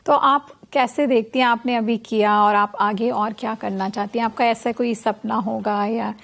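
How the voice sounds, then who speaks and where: A woman's voice: a little high, fairly even intonation, moderate pace, creaky. A woman hosting an interview, attentive and encouraging, often adding short agreements. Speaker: interview host. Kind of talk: conversational interview.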